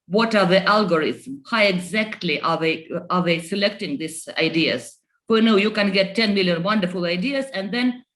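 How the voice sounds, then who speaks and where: A woman's voice: mid-range voice, fairly bright tone. Woman politician, earnest speech, lots of uhs and restarts. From Estonia. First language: Russian. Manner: earnest, halting with fillers and restarts. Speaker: member of parliament. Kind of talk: conference speech.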